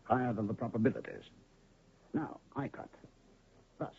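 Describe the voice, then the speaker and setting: A man's voice: mid-range voice, normal speed, creaky, breathy edge. An actor playing a mysterious male medicine man and illusionist in an old radio drama, speaking grandly and theatrically. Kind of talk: 1970s radio drama acting. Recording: lo-fi phone-band old radio recording.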